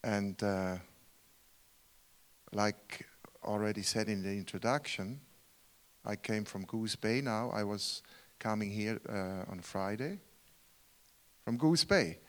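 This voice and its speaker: A man's voice: fairly low pitch, takes it slowly, pauses often. A man with a Swiss German accent, a guest preacher, earnest and rhetorical, often asking the congregation questions. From Switzerland. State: tired from travel. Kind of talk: sermon.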